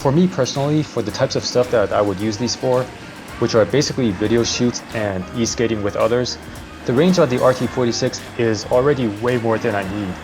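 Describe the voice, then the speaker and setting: A man's voice: mid-range voice, a little fast, over music. A young man hosting a vlog, narrating a casual product review.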